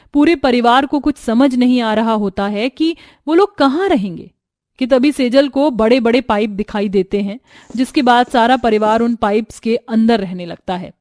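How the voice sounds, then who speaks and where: Woman, narrator, scripted moral story, higher pitch, dry close sound. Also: a little mellow, dry recording.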